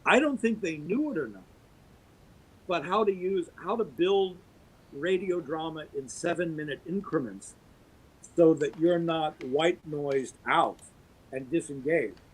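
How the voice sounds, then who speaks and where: Husky, emphatic man on a soapbox. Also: expressive, a little slow, poor audio. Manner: emphatic, wide pitch swings, talks at length. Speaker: guest. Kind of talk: podcast interview, opinionated monologue.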